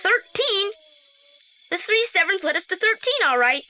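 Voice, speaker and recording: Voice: extremely high pitch, sing-song, normal speed, bright, forceful tone, heavily acted. An actor playing a nervous but plucky boy detective in an audio drama, exclaiming and questioning. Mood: curious. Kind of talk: 1980s British audio drama. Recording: very noisy old tape.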